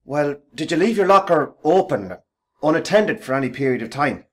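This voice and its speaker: A man's voice: a little high, animated, normal speed, fairly bright tone. An actor playing a mild, apologetic manager in a radio play, polite and hesitant in his speech. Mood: troubled, conciliatory.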